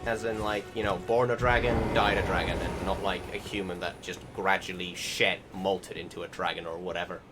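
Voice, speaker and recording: Game music plays clearly behind a man with a fairly low voice. He comments casually on his gameplay, rambling along informally and swearing freely. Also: fairly even intonation, fairly quick, few pauses. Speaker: gaming video creator.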